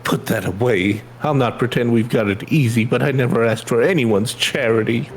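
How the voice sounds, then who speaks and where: A man's voice: medium pitch, moderate pace, soft background music, lightly acted. A man voicing a proud, guarded widowed mother from a video game, blunt and colloquial. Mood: bitter. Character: bitter, proud widowed mother of a young girl. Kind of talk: reading video game dialogue in character.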